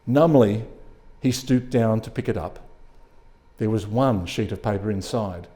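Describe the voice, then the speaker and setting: A man reading a short story aloud as an expressive narrator at a live event. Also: on the low side, measured pace. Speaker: story reader.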